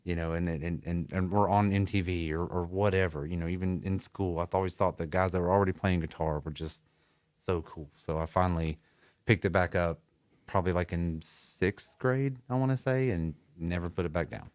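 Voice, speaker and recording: Low-voiced laid-back Southern musician. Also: male voice, normal speed, clean tone, no echo. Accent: American South. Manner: relaxed storytelling, laughs often. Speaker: musician guest. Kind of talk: casual interview. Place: music studio.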